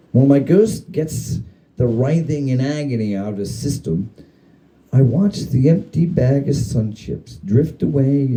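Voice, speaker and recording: Very dark-voiced man reading a story theatrically. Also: medium pitch, poor audio. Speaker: story reader. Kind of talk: dramatic reading of a humorous spooky story.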